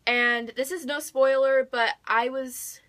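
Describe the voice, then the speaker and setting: High, bright-voiced gushing young American woman. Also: steady tone, slow pace. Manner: gushing, emphatic, repeats words for emphasis. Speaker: book vlogger. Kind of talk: casual excited chat to viewers.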